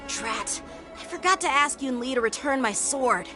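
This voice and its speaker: A woman's voice: high voice, lively intonation, normal speed, over music. A woman voicing an earnest young swordswoman in an anime game, proud yet polite and a little hesitant. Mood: miffed.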